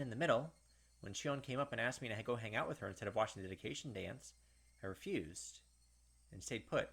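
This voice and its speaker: A man hosting a let's-play, switching between reading story text aloud and animated, puzzled commentary on it. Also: mid-range voice. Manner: animated, puzzled reactions and speculation, mimicking characters. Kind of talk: gameplay commentary mixed with reading visual novel text aloud. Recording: music playing behind the voice. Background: game background music.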